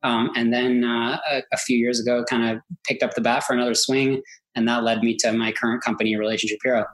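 A man's voice: medium pitch, flat delivery, quick pace, poor audio. An American man in his thirties from Silicon Valley, chatting casually as an interview guest, peppering his talk with filler words. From Silicon Valley, United States, born in Israel. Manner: talkative, peppers speech with "like" and "you know". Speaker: interview guest, tech entrepreneur. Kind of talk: casual chat.

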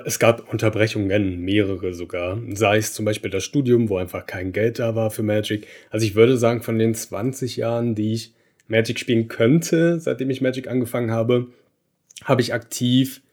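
A man in his thirties from Berlin, a podcast guest telling stories in a relaxed way, with fillers and laughs. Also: fairly low pitch. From Berlin, Germany. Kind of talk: casual conversation.

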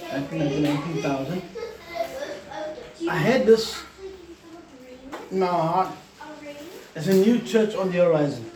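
A man who leads a church, giving an informal sermon in a deliberate way and repeating his phrases. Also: a little high. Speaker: church leader. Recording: echoey, distant, noisy room recording.

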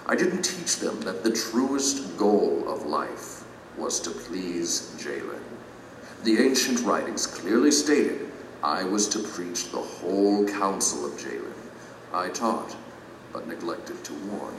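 An actor playing a humbled, regretful former teacher in an audio drama, speaking solemnly and confessionally. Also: male voice, low voice, expressive, slow, far from the mic, noisy background. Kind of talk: allegorical audio drama acting. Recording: echoey hall, music behind, off mic.

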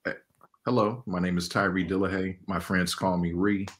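A man's voice: low-pitched, little pitch movement, normal speed. A man in his forties who grew up in Los Angeles, an animation director talking casually as a guest and laughing often between loose, filler-filled answers. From Los Angeles, California. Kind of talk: casual interview talk.

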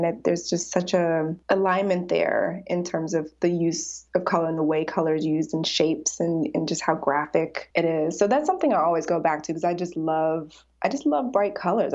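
A woman's voice: lowish voice, darkish tone. A woman in her forties, an artist talking reflectively in a casual interview, laughing often. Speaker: artist guest. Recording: dull lo-fi sound.

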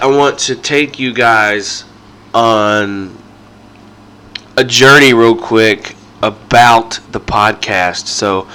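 A male podcast host who sounds stuffed up and nasal from a sinus infection. His tone is bright and sharp, and he chats loosely through the intro, circling back and restarting his sentences. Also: lowish voice. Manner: rambling, with frequent ums and restarts.

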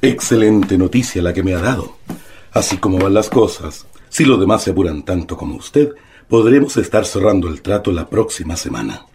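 A man playing a courteous, formal gentleman doing business in a radio drama, polite and sympathetic. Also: low voice, lively intonation, normal speed, gravelly, a little hiss or hum. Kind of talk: Spanish-language radio drama acting.

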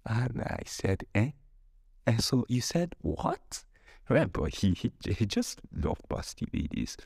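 A man's voice: mid-range voice, sing-song, takes it slowly, vocal fry, darkish tone, slightly rough, no echo, studio quality. A man hosting a podcast, telling stories casually and with humour, acting out dialogue as he goes. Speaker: podcast host. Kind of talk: casual humorous storytelling podcast monologue.

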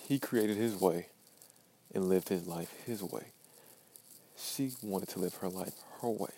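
Low-voiced young man giving himself a pep talk. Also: mellow. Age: 20s. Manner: blunt, profane, repeats phrases for emphasis. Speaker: podcaster. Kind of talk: self-talk pep monologue.

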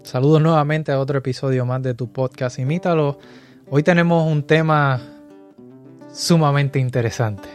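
Male host, casual and halting, faint guitar under the voice. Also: mid-range voice. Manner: hesitant, many fillers. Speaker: podcast host.

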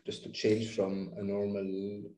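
A man hosting an interview podcast, speaking conversationally with a halting, hesitant delivery. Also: on the low side, monotone, warm tone. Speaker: podcast host. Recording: roomy, echoing sound.

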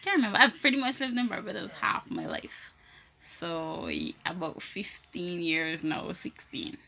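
Woman, Guyanese accent, thirties, bright tone, relaxed laughing talk. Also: lowish voice, a little slow, gravelly, slight background noise. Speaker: co-host. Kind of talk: casual faith chat.

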